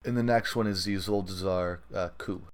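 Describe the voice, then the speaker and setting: A man with a fairly low voice hosts a gaming podcast. He comments casually, wandering a little as he feels out his thoughts. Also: fairly even intonation, moderate pace. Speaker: podcast host.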